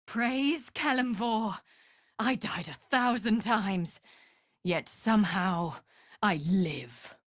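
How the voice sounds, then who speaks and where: A woman's voice: medium pitch, lively intonation, slow pace, long pauses. A woman playing a long-suffering vampire servant in a fantasy game, with dramatic, declamatory delivery. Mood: fervent relief. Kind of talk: scripted fantasy game acting.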